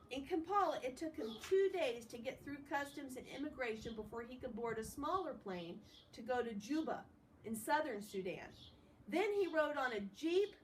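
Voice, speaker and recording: A woman reading a story aloud expressively, in the manner of a read-along with informal commentary. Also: sits high. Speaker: storybook reader. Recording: distant mic with faint background noise.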